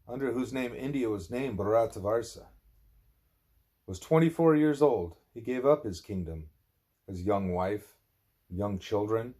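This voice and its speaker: A man's voice: medium pitch, a little mellow. Man reading a spiritual discourse aloud. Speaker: audiobook reader.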